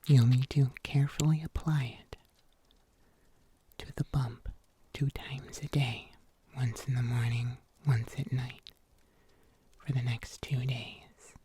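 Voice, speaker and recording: An ASMR performer playing a kindly huntsman healer in a whispered fantasy roleplay, soothing and breathy, with old-fashioned phrasing. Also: medium pitch, fairly even intonation, takes it slowly, dark tone, slight background noise, close to an everyday voice. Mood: gentle, caring. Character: kindly fairy-tale huntsman healer, caring and gently wry.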